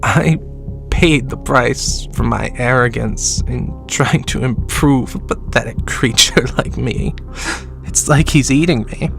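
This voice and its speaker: A man's voice: medium pitch, takes it slowly, vocal fry. An actor playing a sickly, dying man, bitter and self-loathing, who laughs wryly and drops to whispers, in a horror audio drama. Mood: confessing. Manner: wry, mocking laughs, sinking to whispers. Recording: soft music underneath.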